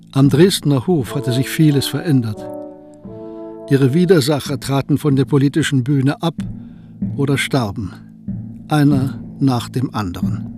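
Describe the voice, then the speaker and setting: Male narrator over music. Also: mid-range voice. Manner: steady, storytelling. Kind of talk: scripted radio drama narration.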